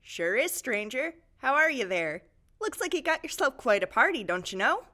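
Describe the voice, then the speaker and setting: Woman as a bright, high-voiced folksy guard. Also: sing-song, moderate pace, exaggerated voice. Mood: cheerful, curious. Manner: folksy, chatty, tag phrases. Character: chirpy, nosy, folksy female town guard. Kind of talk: post-apocalyptic audio drama acting.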